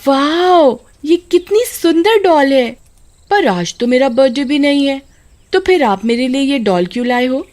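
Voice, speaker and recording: Woman voicing little girl, high pitch, innocent, childlike, pleading, horror storybook. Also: animated, a little slow, a little mellow. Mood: excited, curious. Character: little girl, innocent, devoted to her eerie doll.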